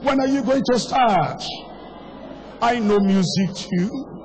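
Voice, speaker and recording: Hoarse older preacher, high-pitched, in echoing hall. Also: male voice, takes it slowly, darkish tone, slight background noise. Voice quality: strained, worn voice. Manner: exhorting, repeating phrases, rhetorical questions. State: hoarse, voice going. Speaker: pastor. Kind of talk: impassioned evangelistic sermon. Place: large hall, preaching to a congregation. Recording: long echoing reverb of a large hall.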